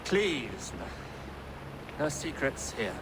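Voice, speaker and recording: An actor playing a proud, composed medieval English king in a video game, measured and regal. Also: mid-range voice, big pitch swings, very noisy. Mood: proud, dry. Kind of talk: dramatic video game acting.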